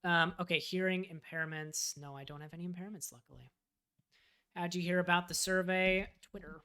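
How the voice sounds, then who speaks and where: A man with a bright, forward voice chatting casually on a livestream. He thinks aloud as he fills out a form and talks straight to his viewers. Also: high voice, steady tone, normal speed, clean tone. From United States. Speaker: live streamer and web developer.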